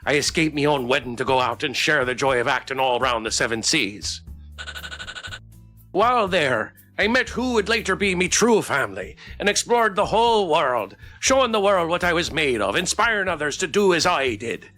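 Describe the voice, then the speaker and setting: A man's voice: a little high, very animated, moderate pace, bright, forceful tone, faint music behind. A man voicing a swaggering, teasing, big-hearted drunk pirate woman from a game, in hearty theatrical pirate dialect. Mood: proud storytelling. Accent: stage pirate.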